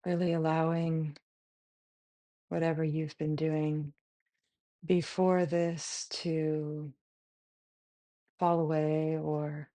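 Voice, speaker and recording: Female meditation guide, mellow and even, gentle short phrases with long pauses, close dry sound. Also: low voice, no room sound. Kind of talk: guided body scan meditation.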